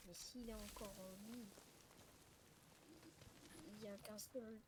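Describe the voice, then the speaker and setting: A woman's voice: mid-range voice, steady tone, slow, lightly acted. A young woman playing a grumbling, wounded zombie-apocalypse survivor in an improvised game roleplay, speaking in an informal, hesitant, protesting way. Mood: pondering. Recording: noisy home recording with clicks.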